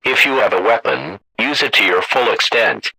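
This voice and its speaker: A man playing a stern, formal emergency alert announcer, reading a scripted broadcast warning in a measured, authoritative way. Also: medium pitch, vocal fry, bright, forceful tone, slightly rough, no echo, heavily acted, low-quality recording. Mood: stern, urgent.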